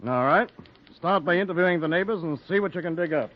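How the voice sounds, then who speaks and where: An actor playing a measured, businesslike newspaper city editor in a 1940s radio drama. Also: male voice, sits high, natural voice. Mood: brisk, measured. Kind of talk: 1940s American radio noir acting. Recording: dull 1940s radio recording.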